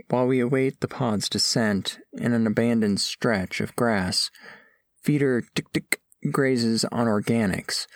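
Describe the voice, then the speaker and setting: An earnest alien scholar, narrates an audio drama with formal, careful diction. The voice has a creaky grain and sits dry and close. The manner is gentle, reverent and observant. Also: male voice, medium pitch, no echo. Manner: measured, formal, precise diction. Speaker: first-person narrator of a story. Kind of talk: scripted audio fiction narration.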